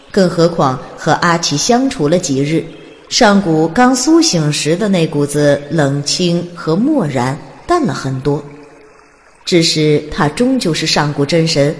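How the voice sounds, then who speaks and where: A woman reading scripted audiobook narration as the storyteller. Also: low-pitched. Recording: long reverb, music underneath. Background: music.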